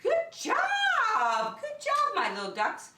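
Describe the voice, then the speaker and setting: A woman's voice: extremely high pitch, wildly swooping pitch, takes it slowly, bright, forceful tone, slight background noise. A woman preschool teacher addressing young children directly in short, simple, encouraging phrases, recorded in a roomy space at a distance from the microphone. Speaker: preschool teacher hosting story and circle time. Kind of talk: children's lesson with singing.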